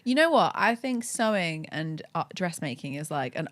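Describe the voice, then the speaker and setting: A British woman hosts a relaxed, chatty interview. Her voice sits a little low for a woman and is recorded dry and close, with an animated, informal delivery. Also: moderate pace, no room sound. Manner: informal, sweary, animated and giggly. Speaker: podcast host.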